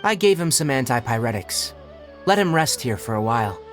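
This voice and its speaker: A man's voice: medium pitch, very animated, a little fast, over music, natural voice. A man playing a composed, blunt young forest ranger and healer in a game, explaining things in an articulate, matter-of-fact way. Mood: calm, instructive. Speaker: forest watcher. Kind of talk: English game dub dialogue.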